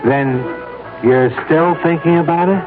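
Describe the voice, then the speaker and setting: An actor playing a reluctant, uneasy blackmailer in a radio drama, earnest and persuasive. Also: male voice, a little high, a little slow, lightly acted. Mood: wary, coaxing. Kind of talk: 1970s radio drama acting. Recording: tinny old radio recording, music behind.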